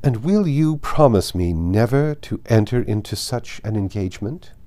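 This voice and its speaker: A man's voice: fairly low pitch, animated, normal speed, mellow, natural voice. A man voicing a haughty, indignant older aristocratic lady in a formal, emphatic audiobook reading. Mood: demanding.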